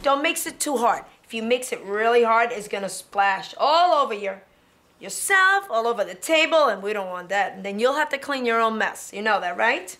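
A middle-aged woman teaching preschoolers in a classroom, talking young children through each step in an encouraging, animated way. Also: fairly high pitch, moderate pace. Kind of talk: children's educational demonstration. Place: indoor classroom.

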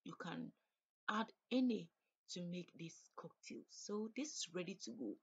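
A woman narrates her cooking in a relaxed, chatty way, describing each step as she works. Her voice sits a little low and has a breathy, husky edge. It sounds like a home kitchen recording. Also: a little slow, highs cut off. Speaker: cooking vlogger. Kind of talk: casual cook-along narration.

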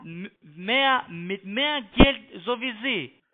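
A man with a foreign accent, not a native speaker, pushing a halting, repetitive sales pitch over the phone as a call-centre agent. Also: lively intonation. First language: not German. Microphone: telephone. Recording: tinny narrow phone line.

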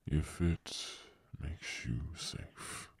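Very deep, slow old dragon. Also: male voice, gravelly, a little mellow, husky, no room sound, studio quality, full character voice. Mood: weary, reluctant. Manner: slow, halting, solemn delivery. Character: weary old male dragon, slow, solemn. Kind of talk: audio drama character acting.

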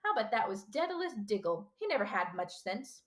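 A woman's voice: medium pitch, sing-song, brisk, fairly bright tone. A woman voicing a stern older female professor in an audiobook, with a clipped, emphatic, impatient manner. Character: stern older female professor, brisk, indignant, easily ruffled. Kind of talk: audiobook character dialogue.

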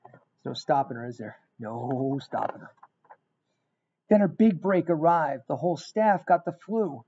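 A middle-aged man reading a rhyming picture book aloud in an expressive, emphatic storytelling manner. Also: fairly high pitch, measured pace, husky. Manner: emphatic, dramatic storytelling with repeated phrases. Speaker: guest reader of a children's book. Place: small indoor room. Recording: dull, lo-fi webcam sound.

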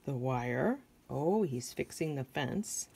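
American woman, middle-aged, children's storytime reader; low pitch with noticeable creak, asks the young listeners questions. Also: lively intonation, slow pace, a little mellow, a little hiss or hum. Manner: simple questions and observations addressed to children. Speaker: picture book reader for children. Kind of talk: read-aloud storytelling with asides to young listeners.

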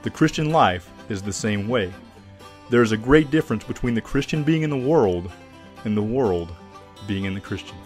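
A man's voice: lowish voice, lively intonation, normal speed, faint music behind. A man giving scripted narration as the announcer of an audio drama.

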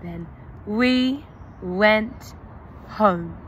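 A young woman teacher, reading a picture book aloud to young children in a slow, exaggerated, sing-song way, recorded outdoors on a phone. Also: medium pitch, highs cut off, slight background noise. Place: outdoors under trees.

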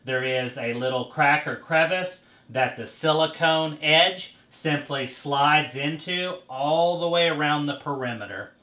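A man's voice: on the high side, unhurried, few pauses, bright, forceful tone. A man in his thirties hosting a casual product demonstration indoors, explaining as he goes with fillers and restarts. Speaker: host. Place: indoor showroom with bare walls. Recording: echoey room.

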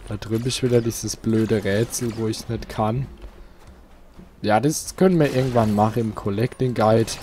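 A man's voice: on the low side, steady tone, moderate pace, dark tone, a little hiss or hum. A man hosting a casual let's play, chatting to his viewers. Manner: chatty, talks to viewers, asks for likes. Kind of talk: casual gameplay commentary.

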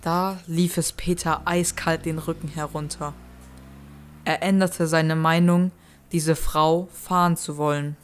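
A woman's voice: sits low, steady tone, a little slow. A woman reading scripted narration as the narrator of an audio play.

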